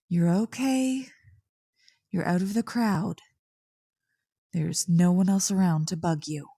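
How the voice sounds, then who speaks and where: A woman's voice: on the low side, gravelly, dark tone, slightly rough, dry recording, clean studio sound, heavily acted. A woman playing a snarky tsundere young succubus who secretly cares, teasing and exasperated in an ASMR roleplay. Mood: reassuring, firm. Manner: teasing, exasperated, sighing, grudgingly soothing.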